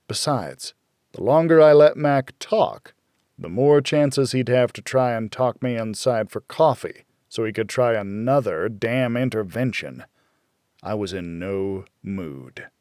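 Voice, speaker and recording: A male audiobook narrator with a middle-pitched voice tells a first-person noir thriller. His delivery is measured and dramatic. The sound is very dry, like a recording booth. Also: darkish tone, no room sound.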